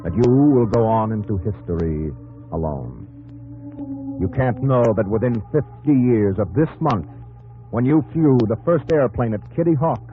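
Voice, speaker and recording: An actor narrating a 1950s radio drama, solemn and measured, addressing the hero intimately in terse dramatic phrases. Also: male voice, medium pitch, background noise, close to an everyday voice. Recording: tinny 1950s radio broadcast, music behind.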